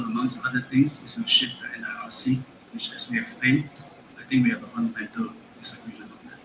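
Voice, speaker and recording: A man debating emphatically in parliament, using rhetorical questions. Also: mid-range voice. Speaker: government member of parliament. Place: parliament chamber. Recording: echoey chamber with faint noise.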